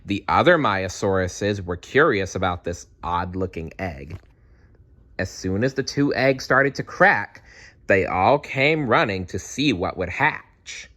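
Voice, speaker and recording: A man reading a children's storybook aloud in an expressive, unhurried way, adding small asides. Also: sits low. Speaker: children's book read-aloud narrator.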